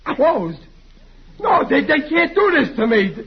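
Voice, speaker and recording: A man's voice: very high voice, big pitch swings, slow, full character voice. An actor playing a bumbling, blustering American father in an old radio comedy, stammering and easily flustered. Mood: flustered dismay. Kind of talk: 1940s radio comedy acting. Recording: muffled, hissy old radio recording.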